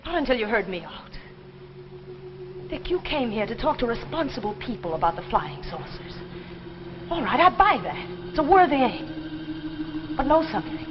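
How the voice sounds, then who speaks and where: A woman's voice: on the high side, big pitch swings, normal speed, long pauses. An actress playing a sensible, skeptical, protective small-town woman in a 1950s drama, with an American accent and a firm, earnest delivery. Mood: firm, urgent. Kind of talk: 1950s television drama acting. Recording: noisy, muffled 1950s soundtrack.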